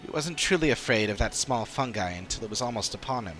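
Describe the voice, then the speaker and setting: A man voicing an earnest, analytical adventuring companion in a fantasy game, speaking in a measured, formal way. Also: medium pitch, expressive, fairly bright tone, faint music behind, close to an everyday voice. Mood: thoughtful. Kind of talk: dramatic reading of game dialogue.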